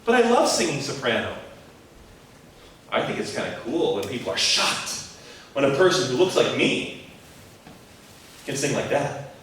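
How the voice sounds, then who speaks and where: A man's voice: mid-range voice, huge pitch swings, unhurried, long pauses, a bit bright, a little hiss or hum. A man performing a reflective spoken monologue in an earnest storytelling manner. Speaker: performer and music educator. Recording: echoey room, mic far off, faint music.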